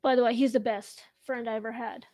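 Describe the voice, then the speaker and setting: A woman in her thirties from Russia, hosting a casual home chat show and talking in a slow, halting way with many pauses. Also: a little high, steady tone, mellow, no echo, studio quality. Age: 30s. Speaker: host.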